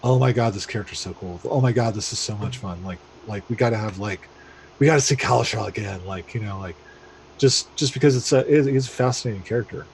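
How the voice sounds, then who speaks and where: A man player in a tabletop game stream, talking casually and haltingly. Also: lowish voice, gravelly, a little hiss or hum, low-quality recording. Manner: halting, lots of fillers and restarts. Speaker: player and talk show streamer. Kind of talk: casual chat.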